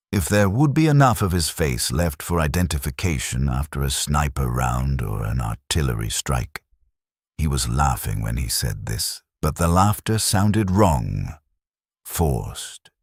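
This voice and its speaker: A man reading a scripted first-person war story in a detached, clinical and measured manner. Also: very deep voice, darkish tone, no echo, studio quality. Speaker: narrator of a science fiction war story.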